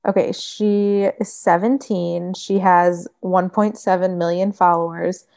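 Woman in her late 20s, slightly lower voice, laughs a lot and gets all animated telling stories. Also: a little slow, vocal fry. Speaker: guest food blogger. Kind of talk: casual chat.

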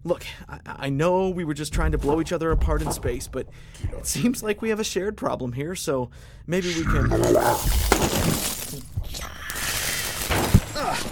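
A man acts out a scrappy lieutenant in a science fiction audio drama, wry and sardonic as he mutters to himself. His voice sits slightly high with a gravelly fry. The track carries clear noise and small clicks. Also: normal speed, hardly any pauses, natural voice. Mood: cautious, placating. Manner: sardonic muttering to himself, sighing, breaking into shouts. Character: wry, scrappy male space lieutenant alone on a hostile ship. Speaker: narrating protagonist.

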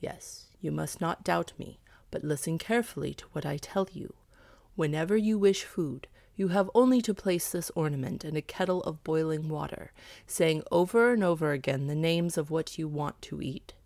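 Woman as a solemn, kindly old priest, low-toned. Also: normal speed, gravelly, darkish tone, no room sound. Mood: reassuring, instructive. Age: older. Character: kindly old bald male priest, solemn messenger of gods.

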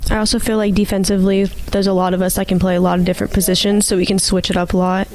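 A young woman from the US mainland speaks quickly in a fairly flat tone. She is a student athlete chatting casually in a radio interview. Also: mid-range voice, a little hiss or hum. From mainland United States. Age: young adult. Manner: informal, youthful phrasing. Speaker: college softball player.

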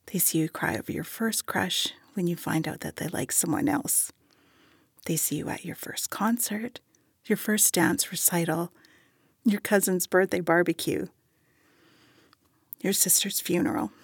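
Tender young woman, breathy husky voice, vocal fry, dry close sound, nostalgic audio drama. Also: on the low side, unhurried, long pauses, no echo. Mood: tender, reflective. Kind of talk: intimate audio drama acting and monologue.

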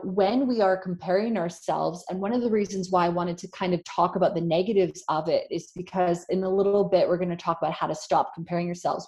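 A woman's voice: on the low side, a little mellow. A woman co-hosting a podcast, speaking earnestly and emphatically, with frequent fillers as she makes her point. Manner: earnest, emphatic, many "you know" fillers. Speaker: podcast co-host. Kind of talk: casual conversational chat.

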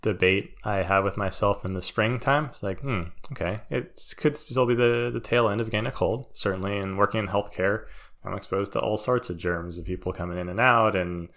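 A man's voice: fairly low pitch, brisk, a bit bright. A man co-hosting a podcast, reasoning aloud thoughtfully in casual chat. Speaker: podcast co-host.

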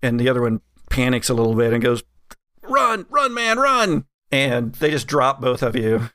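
A man's voice: mid-range voice, sing-song, moderate pace, sharp tone. A man narrating as game master in a casual tabletop game, with many fillers and laughs.

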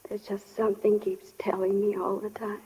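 An actress playing a frightened, gravely ill young wife in a 1940s radio play, speaking in a weak whisper. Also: female voice, medium pitch, flat delivery, moderate pace, mellow, close to an everyday voice. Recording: noisy old 1940 radio broadcast.

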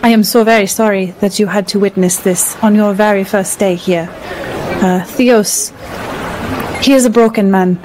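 A woman voicing a commanding town sheriff in a tabletop game, speaking formally and in a measured way. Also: mid-range voice, steady tone, background noise, lightly acted. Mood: apologetic.

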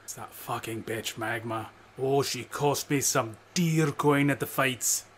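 A man's voice: medium pitch, unhurried, a bit bright, husky. A man doing a cursing, grumbling old fisherman. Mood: bitter. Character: grumbling old male fisherman, bitter and crude.

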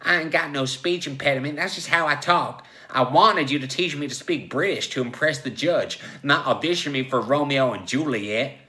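A brash, demanding tiger-man from a comic story, acted out by a man. The voice is forceful and sharp, with crude, folksy phrasing and a bossy, impatient temper. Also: mid-range voice, a little slow, hardly any pauses. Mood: angry, exasperated. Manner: demanding, foul-mouthed, folksy grammar. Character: brash, impatient male prisoner turned lustful giant tiger. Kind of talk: comic live story reading.

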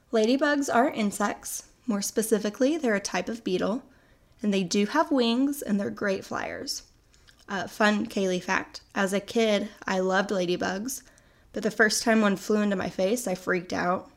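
Young woman host getting over a cold, gravelly fry, chatty and upbeat. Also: mid-range voice. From North America. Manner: chatty and upbeat, explaining facts. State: recovering from a cold, sounds a little sickly. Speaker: podcast host. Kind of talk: casual solo podcast narration.

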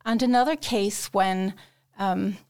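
Woman, university writing tutor, gravelly voice, halting and full of fillers, interview guest. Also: medium pitch, fairly even intonation, darkish tone. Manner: hesitant, many fillers and restarts. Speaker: academic English support tutor. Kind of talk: conversational interview answers.

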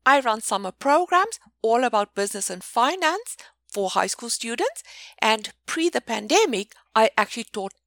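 A woman with a South African accent introduces herself in a friendly, brisk manner. Her voice is bright and a little high, recorded close and dry. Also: very animated, no room sound. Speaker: business program founder. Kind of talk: podcast guest introduction.

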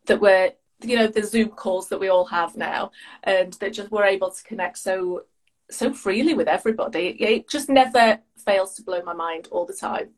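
A woman's voice: medium pitch, moderate pace. A woman with an English accent, a guest on a podcast, chatting enthusiastically over a video call and restarting her words. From United Kingdom. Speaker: guest coach. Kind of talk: casual chat.

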